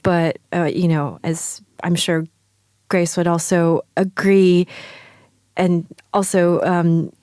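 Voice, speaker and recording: Slow, thoughtful American woman guest. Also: on the low side, level tone, warm tone, dry recording, studio quality. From China, raised in New York. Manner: thoughtful, laughs often, many "like" and "um". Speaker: guest writer. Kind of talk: casual interview talk.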